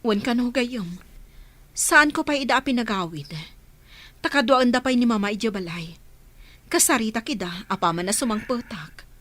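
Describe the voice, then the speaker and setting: A woman's voice: on the high side, animated, normal speed, pauses often, fairly bright tone, dry recording. An actress playing a caring, earnest woman who helps orphans, asking concerned questions in an audio drama.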